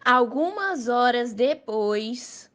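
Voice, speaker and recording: A woman's voice: fairly high pitch, animated, very slow, fairly bright tone. A woman with a Brazilian accent reading scripted story narration as the narrator.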